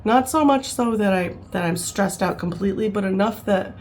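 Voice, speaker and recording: A woman's voice: mid-range voice, normal speed, a little mellow, slight background noise. A woman in her fifties, rambling and chatty, talking casually to camera at home, with frequent laughs and fillers. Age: 50s. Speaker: vlogger.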